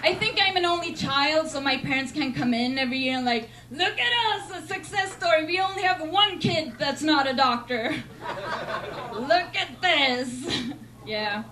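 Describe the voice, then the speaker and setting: A young woman from Sweden with a Swedish accent, doing a deadpan, self-mocking stand-up set in a comedy club. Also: sits high, measured pace, a crowd in the background. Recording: noisy club recording.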